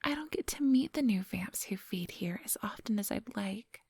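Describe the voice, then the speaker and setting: A woman playing a centuries-old vampire boss in an ASMR roleplay, whispery and breathy, speaking close to the microphone in a silky, patronizing, quietly menacing manner. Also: medium pitch, lively intonation, no room sound. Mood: polite, businesslike. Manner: slow, unhurried, silky and patronizing.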